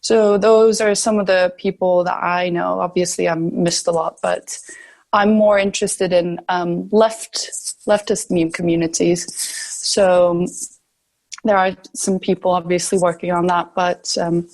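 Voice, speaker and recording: A woman's voice: on the low side, flat delivery, a little slow, darkish tone. Thoughtful young woman, hesitant interviewee. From Turkey, grew up in the Balkans. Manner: thoughtful, hesitant, searching for words. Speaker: podcast guest, sociology researcher. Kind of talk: conversational interview answers.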